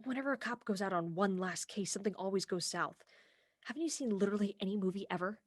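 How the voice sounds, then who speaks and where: A young woman acting in a comic radio play as an earnest, headstrong police officer, brisk and argumentative. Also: mid-range voice, lively intonation, no echo, studio quality, natural voice. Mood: exasperated. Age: young adult.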